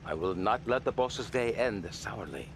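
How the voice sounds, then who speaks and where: An actor playing a stern male mob advisor who speaks in a measured, formal way. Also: on the low side, lively intonation, a little hiss or hum.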